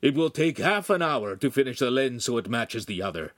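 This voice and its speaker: A man voicing a burly, hot-tempered master spectacle maker who barks and shouts. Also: medium pitch, sing-song, fairly quick, dry recording. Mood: commanding. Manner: gruff, shouting.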